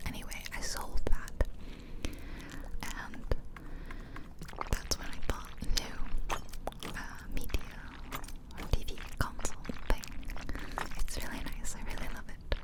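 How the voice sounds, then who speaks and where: A woman whispering softly and breathily into a close microphone, chatting casually in a rambling ASMR style. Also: a little slow, long pauses, low-quality recording. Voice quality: soft, breathy unvoiced whisper. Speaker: ASMR video creator. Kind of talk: ASMR whispered casual chat over gameplay. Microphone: close ear-to-ear microphone.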